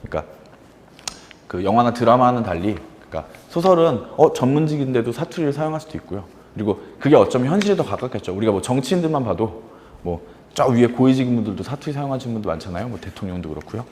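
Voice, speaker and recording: A man's voice: medium pitch, expressive, fast, darkish tone, big-room sound, a little hiss or hum. A man teaching fiction writing in a casual lecture, full of fillers and acted-out example lines.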